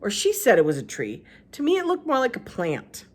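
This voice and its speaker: A woman, a teacher, reading a children's novel aloud with expressive narration. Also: a little fast. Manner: expressive, lively pitch. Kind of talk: storybook read-aloud narration.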